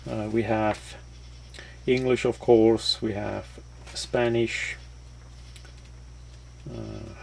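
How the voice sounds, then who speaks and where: A man with as his language talks through a product in a casual, unscripted way. His delivery is slow and halting, with very little rise and fall in pitch. A light layer of noise sits behind his voice. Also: flat delivery, gravelly, darkish tone. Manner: halting, hesitant phrasing. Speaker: product reviewer.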